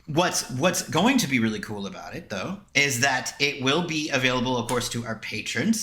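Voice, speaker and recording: Echoey, roomy sound surrounds an American man's voice. He speaks casually as a podcast co-host, with a bright, forward tone. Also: medium pitch. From United States.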